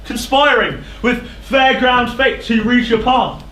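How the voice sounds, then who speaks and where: A man's voice: extremely high pitch, slow. An actor playing a proud, hot-tempered king in a stage verse drama, declamatory and scornful. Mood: bitter accusing. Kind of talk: theatrical verse stage acting. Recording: echoey theatre stage recording, faint noise.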